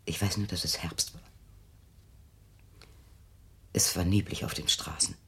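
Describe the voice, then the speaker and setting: A woman's voice: a bass voice, fairly even intonation, brisk, bright, forceful tone, whispered, heavily acted. An actress playing an anxious, controlling older mother in a radio drama, speaking in a stern, agitated, evasive way. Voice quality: husky, breathy. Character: anxious, controlling elderly mother guarding her grown daughter. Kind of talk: German radio drama acting.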